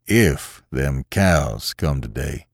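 Storybook old farmer, acted by a male reader: deep, gruff, solemn, rustic, few words. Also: expressive, extremely slow, no room sound, clean studio sound, full character voice. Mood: defiantly apologetic. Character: stern taciturn old farmer husband, defiantly solemn.

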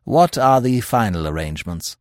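A man's voice: lowish voice, animated, moderate pace, no echo, clean studio sound, natural voice. A man voicing an anxious, courteous police commissioner in an audiobook, formal and polite. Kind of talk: audiobook character acting.

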